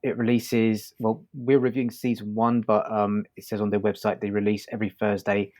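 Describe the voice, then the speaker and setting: A man with a fairly low voice hosts a review podcast. He talks casually and conversationally, feeling his way through his phrasing and often circling back before he lands on the point. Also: level tone, a little fast, a little mellow.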